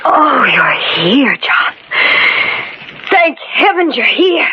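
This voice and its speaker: An actress playing a distraught, anxious widow haunted by a curse, pleading in a 1940s radio mystery style. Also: female voice, sits high, big pitch swings, very slow, faint music behind, exaggerated voice. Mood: fearful. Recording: muffled, noisy 1940s radio recording.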